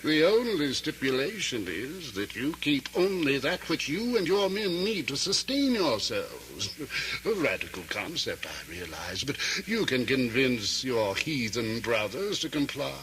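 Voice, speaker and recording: An actor playing a cunning, menacing sheriff with silky, formal courtesy in an old radio drama. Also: male voice, mid-range voice, big pitch swings, unhurried, crowd noise. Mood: smug, courteous. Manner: formal, taunting, theatrical delivery. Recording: noisy vintage 1970s-80s radio recording.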